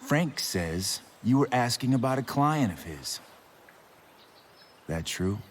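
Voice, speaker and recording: A man's voice: medium pitch, very animated, slight background noise. An actor playing a volatile, sarcastic, cruel male drug dealer in a video game, taunting as he talks. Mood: mocking. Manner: taunting, drawn-out, sarcastic delivery.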